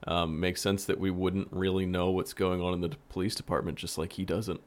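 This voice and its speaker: A man's voice: low voice, flat delivery, fairly quick. A man speaking casually as a participant in a podcast discussion about a show.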